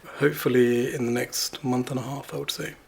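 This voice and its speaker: A middle-aged man from Bangladesh, interviewed at home, talking in a relaxed, chatty way about his studies and family. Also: mid-range voice, fairly quick, gravelly, husky. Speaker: interviewee, planetary science student. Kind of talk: casual interview.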